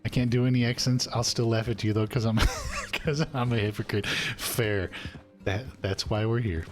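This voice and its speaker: A man's voice: lowish voice, fairly even intonation, moderate pace, faint music behind. A man streaming a western roleplay game, talking in a folksy, chatty way and joking about himself with viewers. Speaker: streamer playing an old cowboy character.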